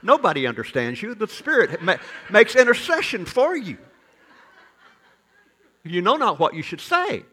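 A man pastor preaching a sermon as folksy, joking storytelling, with sudden loud rises in his delivery. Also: high voice, big pitch swings, normal speed, pauses often, slightly rough.

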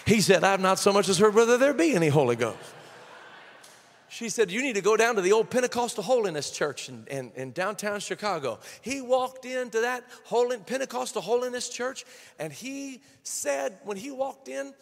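High-voiced pastor preaching in a reverberant church. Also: male voice, sing-song, moderate pace, creaky. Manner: swings from quiet storytelling to shouted praise. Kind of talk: sermon.

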